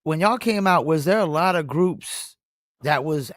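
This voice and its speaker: A man hosting a casual music interview, asking relaxed, admiring questions. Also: on the high side, unhurried, dry recording, studio quality. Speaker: interviewer host.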